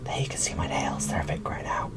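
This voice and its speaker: A woman whispering casual, gushing ASMR commentary as she presents an unboxing, in a breathy, informal way. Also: fast.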